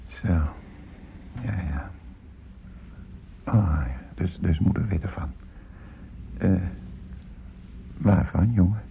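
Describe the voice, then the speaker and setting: Slow, creaky-voiced old father. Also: sits low, exaggerated voice. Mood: dazed, hesitant. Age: older. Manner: halting, repetitive, murmuring. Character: weary, absent-minded old father, kindly and distracted. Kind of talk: radio drama acting. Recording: muffled, noisy old radio play recording.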